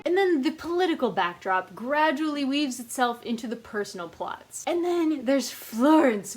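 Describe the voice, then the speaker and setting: A woman giving a casual, chatty book review as host of her own channel, with an animated, emphatic way of talking. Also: high voice. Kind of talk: casual scripted-loose book review to camera.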